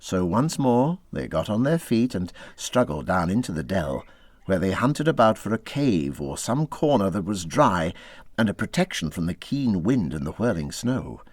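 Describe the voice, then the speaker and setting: Very animated male storybook narrator. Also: low voice, normal speed, no room sound. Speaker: audiobook narrator. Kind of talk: expressive scripted narration of a children's classic.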